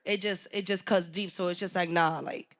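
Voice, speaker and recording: A woman's voice: lowish voice, steady tone, normal speed. A woman co-hosting a podcast, debating casually with lots of swearing, outspoken, emphatic and slangy. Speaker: podcast co-host.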